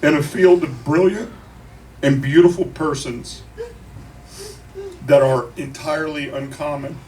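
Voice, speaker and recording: A grieving man delivers an emotional eulogy to a crowd, speaking deliberately, with profanity and wry humor. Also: on the high side, steady tone, a little mellow. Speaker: eulogist at a memorial. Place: crowded bar at a memorial. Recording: noisy live room, distant mic.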